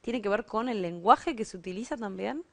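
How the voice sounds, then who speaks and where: A woman's voice: mid-range voice, expressive, brisk, vocal fry, a little hiss or hum. A woman, co-hosting a television news interview, asking follow-up questions and acknowledging with "ujú". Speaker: news co-host.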